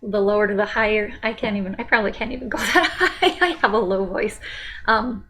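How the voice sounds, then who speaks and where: A woman's voice: mid-range voice, brisk, sharp tone. A woman casually commenting in a reaction video, rambling through her thoughts with frequent apologies and laughing asides. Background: faint music playing.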